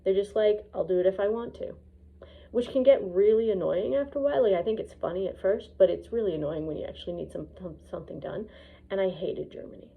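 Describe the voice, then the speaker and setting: A woman's voice: medium pitch, fast. A Canadian woman vlogger talking in a casual, rambling personal monologue, blunt and opinionated, recorded on a phone. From Edmonton, Canada. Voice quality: husky, creaky voice. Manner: blunt, opinionated, hesitant phrasing with frequent swearing. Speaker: vlogger, piano student. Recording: dull phone-recorded lo-fi sound.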